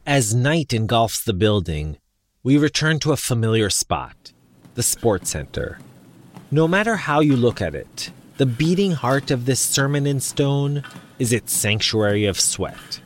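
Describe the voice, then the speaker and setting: A man narrating a news feature as the reporter, in a lively storytelling delivery. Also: medium pitch, unhurried, slight background noise.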